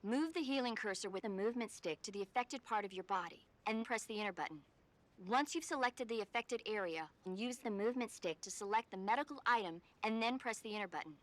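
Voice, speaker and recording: A woman playing a calm military paramedic in a video game, reassuring and instructing a wounded soldier. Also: medium pitch, brisk, lightly acted. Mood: calm, instructive. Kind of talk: scripted game tutorial dialogue over radio.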